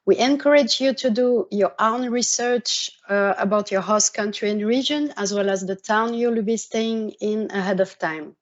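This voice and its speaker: A woman with a Swiss French accent giving an orientation presentation, measured and dotted with pauses and restarts. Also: medium pitch. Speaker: university exchange coordinator. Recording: muffled lo-fi audio.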